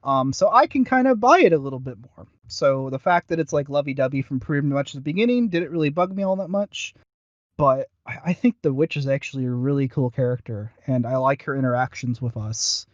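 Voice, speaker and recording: A man's voice: mid-range voice, expressive, fairly quick, no room sound, dull audio. A man co-hosting a podcast, talking casually and somewhat hesitantly as he reviews audio dramas. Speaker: podcast co-host.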